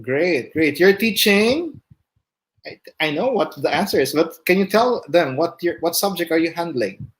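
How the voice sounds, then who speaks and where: High-voiced Filipino man hosting. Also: animated, normal speed. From Philippines. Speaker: host. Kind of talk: casual live webinar chat. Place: indoor room, video call.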